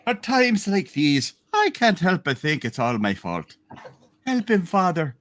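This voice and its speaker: A man playing an earnest townsman praying for a troubled friend in a hammed-up radio play, deliberate and dramatic. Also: a little high, wildly swooping pitch, a bit bright, heavily acted. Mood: reproachful, pleading.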